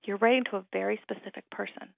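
A woman's voice: mid-range voice, steady tone, quick pace, gravelly, no room sound. A woman from Hawaii, a writer and radio guest, talking in an articulate, thoughtful way with a few repeated starts, heard over a phone line. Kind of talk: reflective radio conversation.

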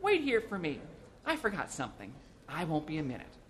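A man's voice: fairly high pitch, huge pitch swings, a little fast, a bit bright, a little hiss or hum. A man voicing a nagging, impatient middle-aged wife in a storybook, firing demanding questions at her husband. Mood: brisk ordering.

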